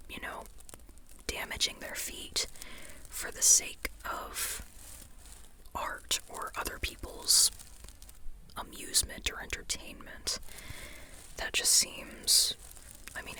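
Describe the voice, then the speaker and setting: An ASMR creator whispering an informal, rambling book chat in a breathy, unvoiced voice, tentative and softly repeating phrases. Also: very high voice.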